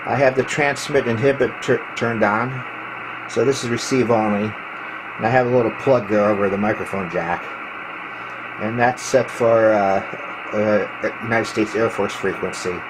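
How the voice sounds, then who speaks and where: A man giving a casual, hands-on video walkthrough as a hobbyist, explaining technical gear in a matter-of-fact, halting way into a handheld camera. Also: fairly low pitch, steady tone. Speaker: ham radio hobbyist showing his equipment. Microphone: handheld camera microphone. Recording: clearly noisy handheld camera recording.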